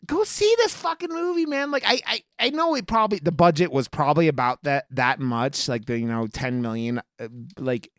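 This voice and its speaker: A man chatting casually as a podcast co-host, rambling and excitable, with free swearing. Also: a little high, fairly quick, few pauses, no room sound, studio quality. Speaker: podcast co-host reviewing horror movies. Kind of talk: casual chat.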